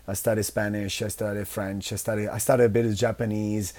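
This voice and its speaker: A man's voice: on the low side, level tone, a little fast, a little mellow. A man in his thirties from Italy with an Italian accent, a guest telling his life story in a loose, rambling way full of restarts. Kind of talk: casual interview chat.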